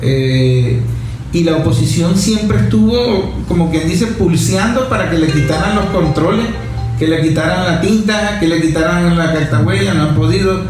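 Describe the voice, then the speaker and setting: A man's voice: a little high, moderate pace, music in the background. A man with a Venezuelan accent explaining politics in a conversational discussion, repeating key phrases for emphasis. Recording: very echoey room with faint noise.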